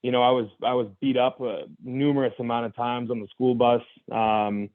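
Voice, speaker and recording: A man's voice: mid-range voice, little pitch movement, normal speed, no room sound. A young adult man, a guest, telling his own story candidly in conversation, earnest and reflective, with many restarts and "you know"s. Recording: tinny phone-like line.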